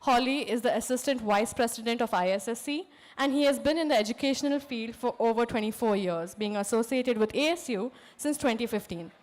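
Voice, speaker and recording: A young woman hosting a live student event on stage, her voice hoarse from screaming, introducing the next guest with excited exclamations. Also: on the high side, fairly quick, hardly any pauses. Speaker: emcee and student association president.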